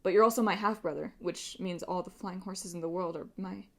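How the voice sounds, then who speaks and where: A woman's voice: on the low side, fairly even intonation, fairly quick. Woman as a cheeky, blunt teenage boy hero. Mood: baffled.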